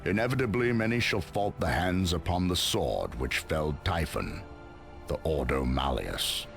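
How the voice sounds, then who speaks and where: An actor playing a stern, stoic imperial orator in a video game, delivering a grave and measured monologue. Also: male voice, extremely low pitch, animated, creaky, a bit bright, faint music behind, heavily acted. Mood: grave reflection.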